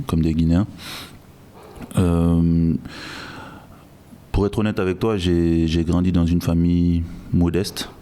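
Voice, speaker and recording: A man who grew up in Guinea, speaking as a guest in a podcast interview in a measured, reflective way with frequent restarts. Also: low voice, warm tone. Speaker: guest, logistics director.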